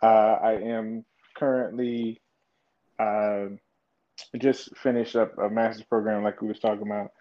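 A man's voice: fairly low pitch, flat delivery, unhurried. A man in his twenties, a podcast guest, speaking thoughtfully and hesitantly with many fillers. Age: 20s. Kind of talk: casual interview chat. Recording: slightly dull lo-fi audio.

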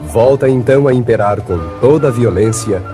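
An actor playing a grand, dramatic narrator of a western serial, declaiming with a Brazilian accent in 1950s radio style. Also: male voice, mid-range voice, a little fast, music in the background, lightly acted. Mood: solemn, rousing. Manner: declamatory, solemn, heroic.

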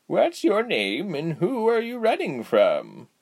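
Man putting on a cheery, high-pitched old healer woman. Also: huge pitch swings, creaky, breathy edge, heavily acted. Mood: curious, teasing. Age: older. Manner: slow, drawling, kindly teasing delivery. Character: cheerful, giggly elderly village healer woman. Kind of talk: storybook character voice.

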